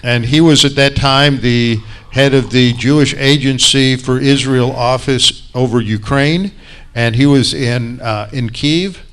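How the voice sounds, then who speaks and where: A man's voice: mid-range voice, steady tone, measured pace, a bit bright, clean tone. A man acting as host, giving friendly introductory remarks with fillers and repeats.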